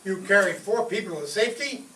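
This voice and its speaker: A man's voice: high voice, expressive, a little fast, pushed and bright. A man playing a polite, admiring dinner guest in an old-time radio comedy, with formal manners. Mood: courteous. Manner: courteous, formal. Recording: roomy, distant stage pickup with faint noise.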